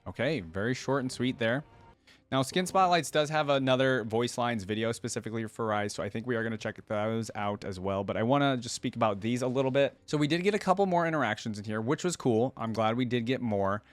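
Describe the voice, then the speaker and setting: A man's voice: mid-range voice, fairly quick, no echo. A man hosting a reaction video, talking casually and rambling informally as he muses, close to the microphone.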